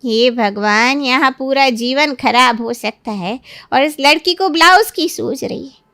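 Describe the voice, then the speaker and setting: A woman's voice: sits high, unhurried, pushed and bright, no room sound, clean studio sound, full character voice. A woman voicing a scheming middle-aged mother of a bride in a cartoon, nagging and lecturing persuasively. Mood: exasperated. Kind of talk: Hindi cartoon story acting.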